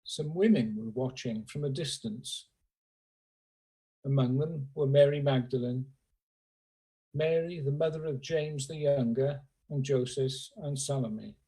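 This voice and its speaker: Male scripture reader for worship, husky voice, slow and solemn, pausing between phrases, on a video call. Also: medium pitch, mellow, low-quality recording. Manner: slow, measured phrasing with pauses. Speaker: scripture reader at a church service. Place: remote, on a Zoom call. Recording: remote video call audio.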